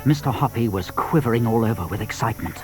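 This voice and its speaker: A man with a British accent narrating a scripted children's story in an expressive storytelling manner. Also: medium pitch, fast talker, faint music behind.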